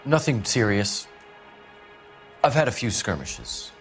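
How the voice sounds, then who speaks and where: An actor playing a haughty, evasive starving writer in a 1940s crime game, condescending and guarded. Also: male voice, fairly low pitch, very animated, takes it slowly, soft background music, exaggerated voice.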